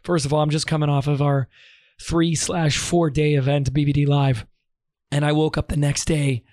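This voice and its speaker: Hoarse male host with a strained, painful voice, dry close mic. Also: a little high, little pitch movement, normal speed, darkish tone, no echo. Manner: strained, pushing through pain. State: lost voice, hoarse. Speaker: podcast host. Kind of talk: casual solo podcast talk.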